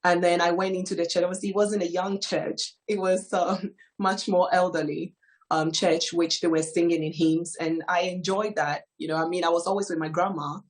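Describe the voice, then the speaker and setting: A woman from Ghana in her thirties, telling her life story as a guest in a hesitant, soft-spoken way, with frequent fillers. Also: fairly low pitch, fairly even intonation, moderate pace, low-quality recording. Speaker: guest giving testimony. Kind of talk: personal testimony in an interview.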